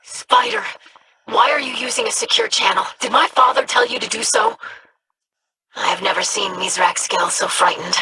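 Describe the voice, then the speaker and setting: An earnest young alien noblewoman with formal, precise diction. Her tone is bright and animated, and there is a faint noise floor behind the game dialogue. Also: medium pitch, normal speed, vocal fry. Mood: worried. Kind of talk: video game voice acting.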